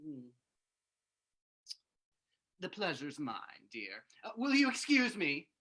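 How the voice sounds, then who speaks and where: A man acts out a solicitous, genteel host from an old-time radio drama. His high voice moves up and down freely. He speaks in a courtly, slightly halting way with a piercing edge. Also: huge pitch swings, sharp tone, exaggerated voice. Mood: genial, courtly.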